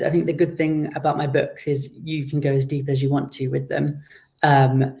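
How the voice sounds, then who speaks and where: A man giving conversational interview answers as a guest author, explaining things in a measured way. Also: on the high side, a little mellow.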